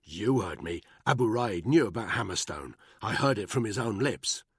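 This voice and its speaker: A man's voice: fairly low pitch, expressive, normal speed, fairly bright tone, slightly rough, dry recording, clean studio sound, voice pushed up, heavily acted. A man voicing a hard, furious soldier who growls with contempt. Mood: menacing.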